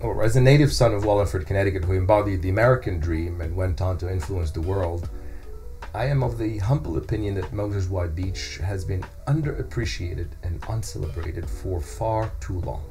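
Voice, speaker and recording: A man's voice: low-pitched, normal speed, mellow, faint music behind. A middle-aged man playing a modest, earnest journalist being interviewed on a scripted podcast. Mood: earnest, humble. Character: modest, earnest male wire-service journalist. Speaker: guest journalist. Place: small furnished podcast studio. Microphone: studio microphone on a boom arm.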